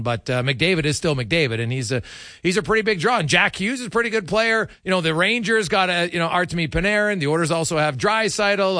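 A man's voice: on the high side, bright, forceful tone, no echo, studio quality. A man hosting a sports talk show, chatting casually as he interviews a guest.

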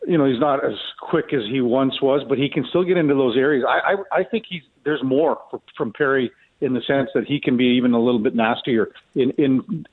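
A male sports commentator heard through a narrow, phone-like connection, with a mid-pitched voice. He gives casual analysis in a conversational way, circling back to rephrase his points. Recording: tinny, phone-like narrow band.

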